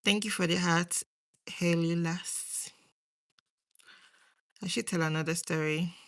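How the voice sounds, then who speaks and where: A woman's voice: lowish voice, steady tone, takes it slowly. A young woman with a Nigerian accent chatting casually to viewers on a livestream, rambling and trailing off between thoughts. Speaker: livestream host.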